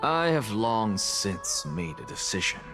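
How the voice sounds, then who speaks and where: A self-assured male scholar speaks in a fantasy game. His delivery is aloof and theatrical, swinging widely in pitch over clear background music. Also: very animated, unhurried. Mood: cool, assured. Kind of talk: dramatic game voice acting.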